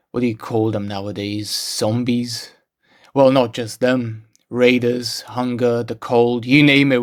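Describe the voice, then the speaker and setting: A man playing a world-weary, guarded lieutenant at sea after the apocalypse, telling his story in a brooding, deliberate way. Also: mid-range voice, darkish tone, natural voice. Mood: reflective. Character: world-weary, guarded male post-apocalyptic seafaring lieutenant, haunted survivor. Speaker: narrating game character. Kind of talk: scripted narration.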